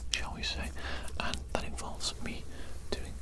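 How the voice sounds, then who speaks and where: A woman whispering softly and breathily in an ASMR roleplay as a politely menacing evil doctor, slowly repeating soothing phrases. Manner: slow, repetitive, softly polite.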